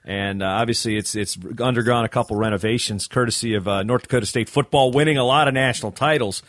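A man's voice: medium pitch, quick pace, fairly bright tone, no echo, clean studio sound. Joking Michigan-accented male coach. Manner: joking, rambling storyteller. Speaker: assistant wrestling coach. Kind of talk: casual podcast chat.

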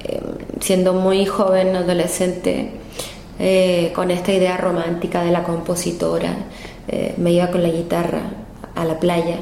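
A Chilean woman with a Chilean accent speaks in a low, mellow voice, pausing often and repeating words as she answers. The room is echoey, with faint ambient noise behind her. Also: monotone, vocal fry. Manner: hesitant, many fillers and repeats. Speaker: guest singer. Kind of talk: casual interview answers. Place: hotel room. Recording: roomy echo with faint ambience.

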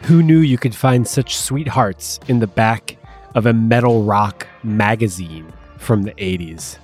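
A man's voice: on the low side, unhurried, a little mellow, soft background music. A man hosting a show, reading love letters aloud and introducing listener submissions. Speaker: podcast host.

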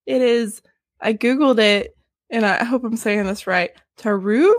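A woman with a Southern US accent co-hosting a casual podcast, animated and quick to laugh. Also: on the high side. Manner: giggly, animated, laughs often. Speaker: podcast co-host. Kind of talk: casual podcast chat, livestreamed.

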